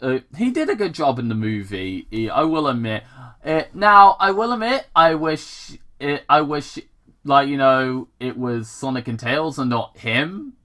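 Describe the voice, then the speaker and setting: A man reads fan fiction aloud at a slow, halting pace. His pitch swings widely as he trips over words, repeats himself and drops in casual, sweary commentary. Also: expressive, pushed and bright. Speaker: gamer host reading fan fiction aloud.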